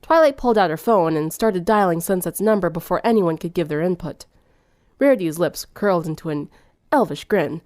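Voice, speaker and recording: A woman's voice: lowish voice, animated, a little fast, a little mellow, no echo, clean studio sound. A woman reading fan fiction aloud as scripted third-person narration.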